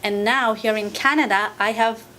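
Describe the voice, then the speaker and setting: A woman raised in Japan whose first language is Japanese, telling her story as a guest in a casual interview in a thoughtful, measured way. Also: fairly high pitch, fairly even intonation, sharp tone. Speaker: guest, Reiki practitioner.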